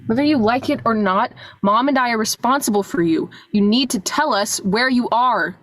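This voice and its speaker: Woman acting older sister, bossy, worried, highish voice, dry recording, amateur radio drama. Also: normal speed, no room sound, natural voice. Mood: upset. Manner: scolding, exasperated.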